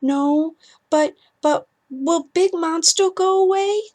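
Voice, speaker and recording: Storybook small boy, played by a woman: high voice, anxious and sad, halting toddler speech. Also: darkish tone. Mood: timid, anxious. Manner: halting, repeats words, toddler grammar. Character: sleepy, worried little boy, sad about his dog.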